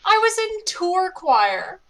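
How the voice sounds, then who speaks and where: A woman's voice: very high voice, big pitch swings, slow pace, a bit bright, a little hiss or hum. A woman player chatting casually and playfully with the group about her microphone. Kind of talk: casual chat between players.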